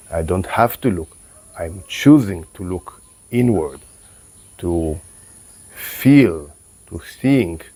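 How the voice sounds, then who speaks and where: A man with a very deep voice talks slowly and reflectively, a qigong teacher whose first language is Hebrew. His voice sounds slightly closed, and he pauses and restarts as he gathers his thoughts. Insects chirp quietly in the background. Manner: calm, unhurried, with pauses and restarts. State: voice a bit closed after meditation. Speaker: qigong and tai chi teacher. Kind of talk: reflective spoken talk to camera.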